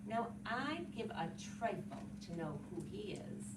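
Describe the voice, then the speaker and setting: A woman giving a dramatic literary reading of a musing, wry, morbidly curious man at a funeral, in drawn-out short phrases. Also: mid-range voice, very animated. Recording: echoey, distant, very noisy room.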